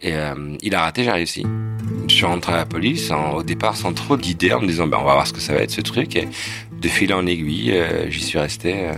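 A man telling his own story in a relaxed, casual way for a documentary interview. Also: sits low, brisk, vocal fry, music in the background. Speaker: playwright and director.

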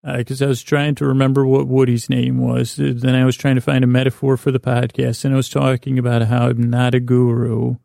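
Creaky, mellow American man, rambling drowsily. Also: medium pitch, completely flat delivery, dry recording, studio quality. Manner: meandering, halting, with stammered false starts and self-interruptions. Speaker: podcast host. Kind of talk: rambling bedtime monologue to help listeners sleep.

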